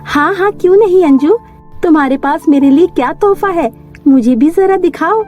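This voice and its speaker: A naive, sweet young cartoon heroine acted by a woman narrator with a very high, mellow voice. She speaks emphatically and openly, as in a children's fairy tale, over faint music. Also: animated, normal speed, highs cut off. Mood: eager. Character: sweet, trusting, easily frightened young woman. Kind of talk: Hindi animated fairy tale voice acting.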